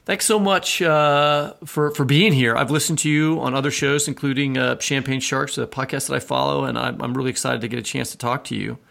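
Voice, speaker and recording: A man's voice: mid-range voice, fairly even intonation, brisk, creaky, few pauses, a bit bright. An American man co-hosting a podcast, moving between scripted introductions and conversational talk. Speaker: podcast co-host.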